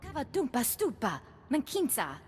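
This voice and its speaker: An actress playing an animated female alien in a video game, speaking a bouncy invented tongue. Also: sits high, normal speed, husky, long reverb tail, full character voice.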